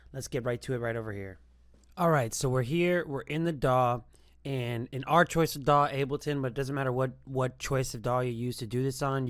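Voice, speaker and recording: A man's voice: mid-range voice, smooth, no echo. Dry-sounding man hosting a casual tutorial. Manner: informal, rambling explanations. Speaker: music production tutorial host.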